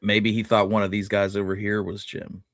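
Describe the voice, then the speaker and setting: A man co-hosting a show, chatting casually and thinking aloud in a halting way. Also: on the low side, completely flat delivery, a bit bright, no echo, clean studio sound. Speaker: show co-host. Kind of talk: casual conversational commentary.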